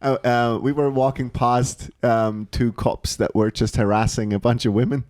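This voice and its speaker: A man's voice: mid-range voice, steady tone, normal speed, darkish tone. A man co-hosting a podcast, telling a story in casual chat between frequent laughs. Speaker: podcast co-host.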